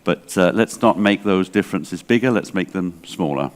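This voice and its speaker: A man's voice: deep voice, normal speed. A man in his sixties with an English accent, giving a chatty, digressive conference talk full of fillers. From England. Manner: chatty, digressive, self-deprecating, many fillers. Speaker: library association director. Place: large hall. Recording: long hall reverb.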